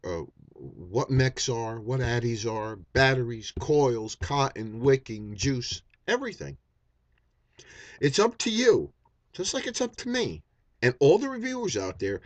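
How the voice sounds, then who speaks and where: A man in his fifties holds forth in a casual vlog, blunt and profane, stumbling over restarts as he goes. His pitch swings widely from phrase to phrase. The sound is dry and close, with no room echo. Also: a little mellow. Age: 50s. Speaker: vaping YouTuber. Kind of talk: unscripted vlog rant.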